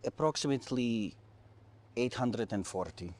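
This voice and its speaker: An actor playing a formal, deferential Soviet military officer in a game cutscene, measured and clinical. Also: male voice, mid-range voice, expressive, darkish tone, close to an everyday voice. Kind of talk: video game cutscene acting.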